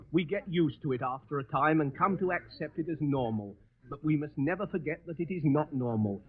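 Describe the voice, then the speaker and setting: A man from London giving a scripted address in a 1940s radio broadcast style. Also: fairly high pitch. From London, England. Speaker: shelter spokesman. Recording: tinny 1940 radio, crowd noise. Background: crowd in underground shelter.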